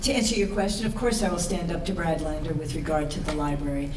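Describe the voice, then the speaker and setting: A woman's voice: deep voice, fast talker. A woman who is a political candidate answering firmly at a public debate in plain declarative statements. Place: college auditorium public debate. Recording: echoey hall, distant mic, background noise.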